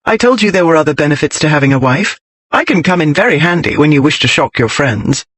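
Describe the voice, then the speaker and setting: A man's voice: fairly high pitch, lively intonation, fast, fairly bright tone, no echo. A man voicing a strong-willed, outspoken young lady in an audiobook, with forthright, emphatic period speech. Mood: pleased. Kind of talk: audiobook character voice.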